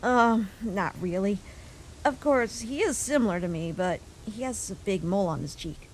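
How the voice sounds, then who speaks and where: A woman voicing a caring human child in a comic dub, a protective older sibling who speaks gently and reassuringly. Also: mid-range voice, expressive, normal speed, a little hiss or hum, lightly acted. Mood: tender.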